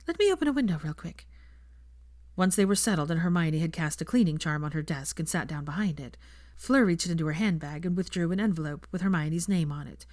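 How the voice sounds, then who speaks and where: A woman's voice: low voice, fairly quick, darkish tone, no echo, studio quality. A woman narrating a scripted story as an audiobook reader. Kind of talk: scripted fiction narration with character voices.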